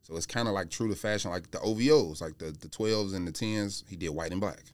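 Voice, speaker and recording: A man's voice: sits low, brisk, darkish tone, no room sound. A man chatting informally as a podcast guest, explaining his opinions in a conversational way. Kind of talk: casual chat about sneakers. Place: podcast studio.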